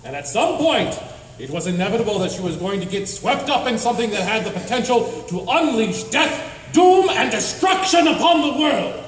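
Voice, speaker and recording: A man's voice: extremely high pitch, very animated, normal speed. A man playing a grandiose, fatalistic adventurer hero in a comic radio drama, declaiming pompously. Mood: grandly resigned. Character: grandiose self-styled hero, cheerfully fatalistic male adventurer. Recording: echoey hall stage, distant, faint noise.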